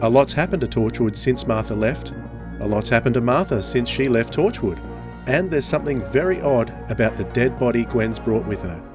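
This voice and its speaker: A man's voice: medium pitch, lively intonation, normal speed, over music. A man hosting a casual podcast chat, speaking close to the microphone. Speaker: podcast host.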